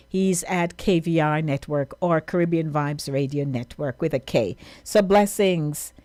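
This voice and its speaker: A woman's voice: fairly low pitch, a little mellow, no room sound. Chatty Jamaican woman radio host. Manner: chatty, laughing, slipping into patois. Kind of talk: casual on-air sign-off.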